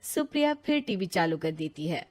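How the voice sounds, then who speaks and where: A woman's voice: lowish voice, big pitch swings, normal speed, darkish tone. A woman reading scripted narration for a cartoon story in a plain, matter-of-fact way.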